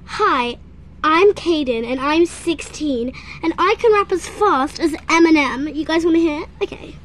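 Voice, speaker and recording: A woman voicing a boastful sixteen-year-old boy who says he raps fast, in a high voice close to her own. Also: fairly bright tone, a little hiss or hum.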